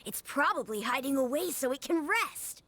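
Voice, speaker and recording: A woman's voice: sits high, expressive, moderate pace, sharp tone, exaggerated voice. A woman voicing an eager, stubborn young child in a video game, earnest and insistent. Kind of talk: game voice acting. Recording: game audio over music. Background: game music.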